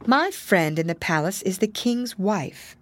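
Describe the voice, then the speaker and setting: Woman voicing a calm, mysterious little old godmother. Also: medium pitch, unhurried, no echo, natural voice. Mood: indifferent. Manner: calm, knowing. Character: mysterious little old fairy godmother, gentle, solemn, unshakable. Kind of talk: storybook character voice.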